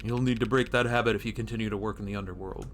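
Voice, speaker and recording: A man's voice: lowish voice, fairly even intonation, racing, fairly bright tone, slight background noise, voice pushed down, lightly acted. A man voicing a blunt, world-weary streetwise cop in a noir game, with a matter-of-fact, wry delivery. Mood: wry, explanatory. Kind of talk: reading noir game dialogue aloud.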